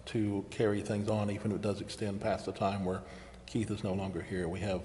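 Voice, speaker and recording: Casting: American man as meeting chair, lowish voice, heard in a big echoing chamber with faint noise. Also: flat delivery, a little fast, mellow. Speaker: mayor chairing a council meeting. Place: council chamber. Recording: echoey hall, faint background noise.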